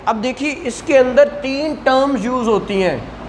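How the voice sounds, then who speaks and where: Law lecturer, Pakistani accent, mid-pitched and unhurried, teaching conversationally with repeated check-in questions, over background noise. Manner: didactic, repeats points and asks rhetorical questions. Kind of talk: explanatory law lesson, conversational.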